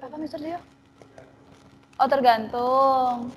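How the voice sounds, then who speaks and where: Playful high-voiced woman teacher. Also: fairly even intonation, measured pace. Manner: playful, switches between English and Indonesian. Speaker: teacher hosting a school webinar. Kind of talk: casual online Q&A moderating. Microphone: video call.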